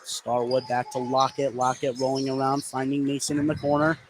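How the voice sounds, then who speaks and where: A man doing live radio play-by-play of a basketball game, following the action continuously and getting excitable on big plays. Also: medium pitch, a little fast. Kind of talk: live radio sports commentary. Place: courtside in a sports gym during a game. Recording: clear gym noise behind voice.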